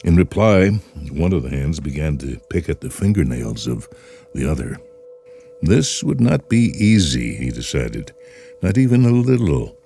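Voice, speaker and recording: A man reading a story aloud in a measured, expressive way. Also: low-pitched. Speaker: narrator. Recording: music bed under the voice.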